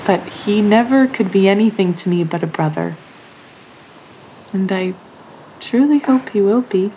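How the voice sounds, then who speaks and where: Over a clear background hiss, a woman acts a gravely ill young sister in a period audio play. She is soft-spoken and halting, with a middle-pitched voice. She sounds selfless and resigned, speaking with quiet earnestness. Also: expressive, rough recording. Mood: tender. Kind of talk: audio drama acting of a period novel.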